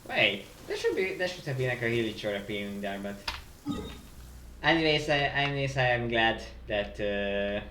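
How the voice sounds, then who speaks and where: A man giving casual live commentary on a game as a streamer, haltingly repeating phrases as he thinks aloud. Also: medium pitch, very animated, sharp tone, a little hiss or hum. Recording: roomy sound with game music. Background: video game music.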